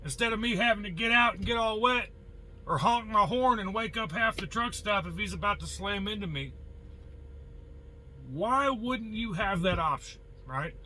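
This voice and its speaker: Bright, high-pitched American trucker man. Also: expressive, moderate pace, slight background noise, low-quality recording. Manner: blunt, folksy, mild swearing, rhetorical questions. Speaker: truck driver giving advice. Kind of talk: casual opinionated monologue to viewers.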